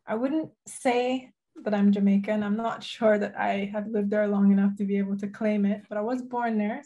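Woman, gravelly, mellow, flat delivery, video call, relaxed storytelling. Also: mid-range voice, highs cut off. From Saint Lucia. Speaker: guest athlete. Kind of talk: casual interview chat. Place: home room, indoors.

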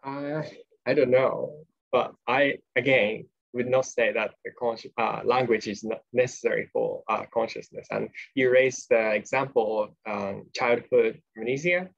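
Slow guy thinking aloud on a video call, raspy fry in the voice. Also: medium pitch, muffled recording. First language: Japanese. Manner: slow, tentative, hedging. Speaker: podcast co-host. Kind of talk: academic discussion over a video call.

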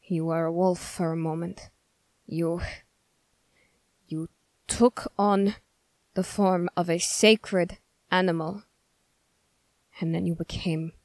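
A woman voicing a stern, suspicious young male witch hunter in an ASMR roleplay, speaking formally and accusingly with deliberate pauses. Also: fairly low pitch, darkish tone, no room sound. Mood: shaken, accusing. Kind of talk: ASMR character roleplay, scripted.

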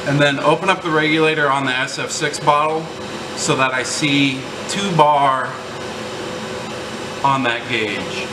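A man's voice: a little high, steady tone, measured pace. A man in his thirties gives a casual, informal hands-on technical demonstration, explaining each step like a technician. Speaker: technician demonstrating equipment maintenance. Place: indoor lab among large machines. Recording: roomy, distant mic, loud machine hum. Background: air conditioning and machinery noise.

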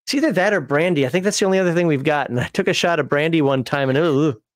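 A man's voice: fairly high pitch, fast talker, dry recording, studio quality. A middle-aged man hosting a casual livestream from home over a video call, reading chat messages and laughing often. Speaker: livestream host.

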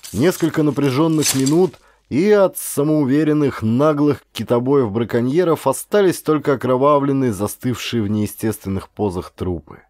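A man narrating a historical adventure audiobook in an expressive, theatrical way with deliberate pauses. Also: mid-range voice, a little hiss or hum.

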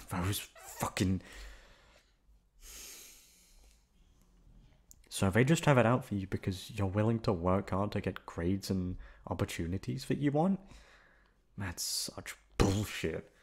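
A young man playing a gruff but affectionate, protective delinquent student in an intimate ASMR roleplay, talking in a casual, foul-mouthed way. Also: fairly low pitch, measured pace. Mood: indignant, angry.

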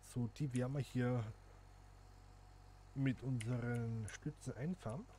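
Guy chatting thru a sim game, thinking out loud, bit of background hiss. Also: mid-range voice, slow. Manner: chatty, thinks aloud while playing, addresses viewers. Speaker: gaming channel host. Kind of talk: casual gameplay commentary.

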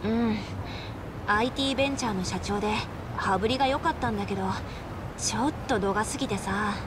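A woman acting a friendly, modest young nightclub hostess in a Japanese game dub, with expressive, casual feminine speech. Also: mid-range voice, fairly quick, noisy background, lightly acted. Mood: uneasy, confiding.

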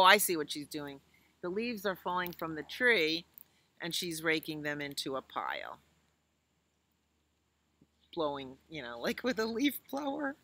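An American woman in her sixties reads to small children in a bright, slightly low-pitched voice. She takes her time, pausing to explain simply and to put questions to her young listeners. She is recorded outdoors. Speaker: children's librarian reading storytime. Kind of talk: reading a picture book aloud to young children, with chatty asides. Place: outdoors in a leafy garden.

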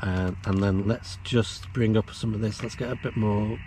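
A man's voice: fairly low pitch, steady tone, moderate pace, a little mellow, a little hiss or hum. A middle-aged man explaining things casually in a vlog, recorded on a camera while camping. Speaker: vlogger demonstrating off-grid radio gear. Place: outdoors in a windy field and inside a canvas tent.

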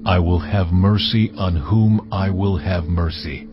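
An actor playing God in a dramatized audio Bible, speaking in a slow, measured, commanding way. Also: male voice, low-pitched, fairly even intonation. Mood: solemn. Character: God, deep solemn voice of the Lord. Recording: slightly dull audio with music bed.

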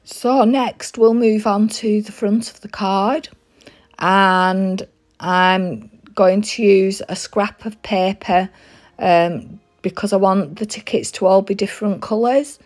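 A woman with a head cold and a slightly croaky voice, walking through a craft demonstration step by step with frequent ums. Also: mid-range voice, steady tone, slow. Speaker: craft tutorial host. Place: craft desk seen from above.

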